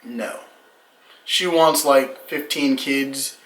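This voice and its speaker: A man's voice: mid-range voice, steady tone, drawn-out words, fairly bright tone, reverberant, rough recording. A man in his late twenties co-hosting a casual Q&A with his wife, reading viewer questions and teasing. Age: 20s. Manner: joking, teasing, reads questions aloud. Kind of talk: casual couple Q&A chat.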